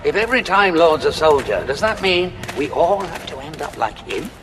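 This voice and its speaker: An actor playing a curious, observant prisoner, a well-travelled time traveller, in an audio drama with a thoughtful manner. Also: male voice, a little high, very animated, moderate pace, big-room sound.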